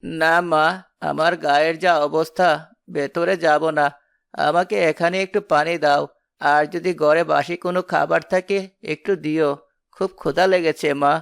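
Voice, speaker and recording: A humble old cartoon beggar played by a man, his voice gravelly yet clear. He sounds weary and pleading, in a close, dry recording with light music. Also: on the high side, fairly even intonation, measured pace, a bit bright, no room sound. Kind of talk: cartoon dialogue.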